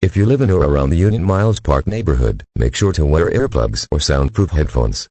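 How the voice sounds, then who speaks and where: A man's voice: rumbling low, expressive, a little fast, warm tone, no room sound. A man's synthetic-sounding voice reading a formal emergency alert in an impersonal, mechanical manner.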